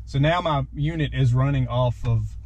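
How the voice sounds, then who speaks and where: A man's voice: mid-range voice, normal speed, a little mellow, muffled recording, slight background noise. A man walks through his gear setup. He is plain-spoken and unscripted, going step by step as he sits in his parked truck. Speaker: vlogger demonstrating his gear. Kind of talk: casual unscripted product walkthrough.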